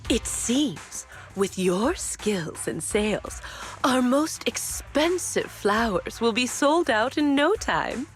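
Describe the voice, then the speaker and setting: A woman's voice: fairly high pitch, very animated, soft background music. A woman voicing an elegant, composed florist with a formal, courteous manner in a video game. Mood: pleasantly surprised. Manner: formal, measured. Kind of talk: video game character acting.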